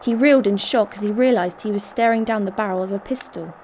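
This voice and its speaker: A woman's voice: mid-range voice, quick pace, a little mellow, close to an everyday voice. A woman playing a tough, tense heist operative who narrates her own story in a radio drama. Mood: tense narration. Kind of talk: radio drama first-person narration with acted dialogue. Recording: noisy recording with vehicle ambience.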